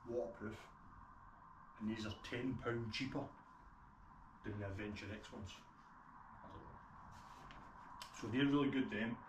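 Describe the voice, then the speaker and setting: A man's voice: medium pitch, a bit bright. A man with a broad Scottish accent giving a casual, unscripted gear review in a plain-spoken way. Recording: roomy echo, distant mic, clear background noise.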